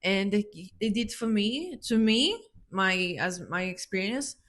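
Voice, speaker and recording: A woman from Italy, co-hosting a casual chat in halting, informal phrasing. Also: medium pitch, animated, very noisy. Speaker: co-host.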